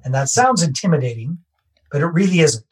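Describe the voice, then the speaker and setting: A Canadian real estate investor speaks in a husky, slightly raised voice. He talks casually and pokes fun at himself, and he comes back to the same key phrases as he lays out his method for a podcast host. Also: on the high side, expressive, a little fast, darkish tone. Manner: conversational, self-deprecating, repeats key phrases. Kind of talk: podcast interview, explaining a process.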